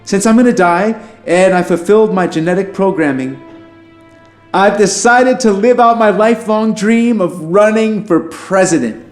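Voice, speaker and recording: A man's voice: high-pitched, moderate pace, close to an everyday voice. A man playing an affable, nervous alien who poses as human, a pacifist pessimist speaking in wry, rambling, conversational asides in an audio drama. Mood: hopeful, earnest. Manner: informal, rambling, self-interrupting, wry asides. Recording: roomy echo, music underneath.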